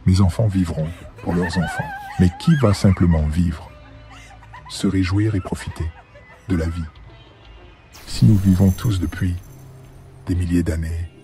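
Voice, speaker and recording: Man acting a slow, deep-voiced reflective father. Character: agreeing, questioning male friend, a weary father. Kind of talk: animated film dialogue.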